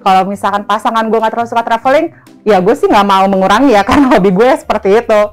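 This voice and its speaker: A woman's voice: a little high, fast, soft background music. Chatty woman in her thirties. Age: 30s. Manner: chatty, relaxed slang speech. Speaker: interviewee. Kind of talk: casual street interview answers.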